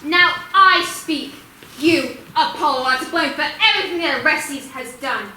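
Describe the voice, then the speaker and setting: Actress as fierce hunting Fury, very sharp high voice, accusatory stage declamation, roomy echo. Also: female voice, expressive, moderate pace, heavily acted. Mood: accusing. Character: fierce female Fury, relentless hunting spirit of vengeance. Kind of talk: Greek tragedy stage acting. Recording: echoey room, distant mic, faint noise.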